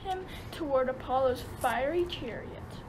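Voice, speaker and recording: A woman's voice: high voice, expressive, measured pace, background noise. A woman narrating a radio play as a scripted storyteller.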